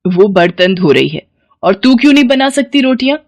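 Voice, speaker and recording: A woman's voice: medium pitch, very animated, moderate pace, darkish tone, no room sound. A woman voicing an exasperated middle-aged mother in a story. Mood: exasperated scolding. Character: scolding, worn-out middle-aged village mother, respectful to elders.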